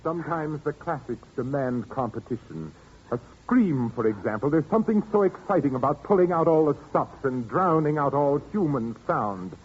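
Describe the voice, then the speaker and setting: A soft-spoken sinister organist. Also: male voice, on the high side, slightly rough. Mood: gloating. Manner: calm, measured, quietly menacing. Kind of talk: vintage radio drama acting. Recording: muffled old radio broadcast with hiss.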